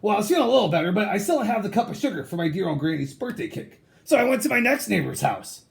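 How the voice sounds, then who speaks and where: Reader acting a sly male storybook wolf, gravelly, animated pitch, chatty, self-justifying, mock-innocent. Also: fast talker. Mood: matter-of-fact. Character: sly, aggrieved male cartoon wolf telling his side.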